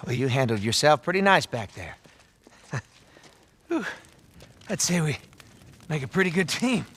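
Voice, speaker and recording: An American man playing an ingratiating survivor leader in a video game, speaking in a husky voice with a hesitant, folksy, persuasive manner. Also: fairly high pitch, big pitch swings, a little slow, a bit bright, slight background noise. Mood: wry, friendly. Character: soft-spoken, ingratiating adult male survivor leader with hidden menace. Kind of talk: naturalistic video game acting.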